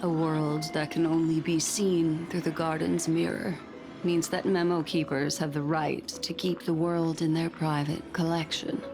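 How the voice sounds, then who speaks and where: An actress playing a courteous, secretive memory keeper in a video game, speaking in a measured, formal way over music. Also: female voice, sits low, level tone. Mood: grave, guarded. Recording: background music under the voice.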